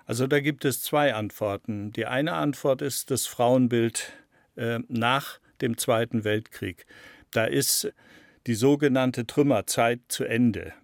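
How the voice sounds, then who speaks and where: A man giving analytical interview answers as an expert, deliberate and explanatory, stressing key words. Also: mid-range voice, vocal fry, no echo, studio quality. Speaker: interviewed expert on a criminal case.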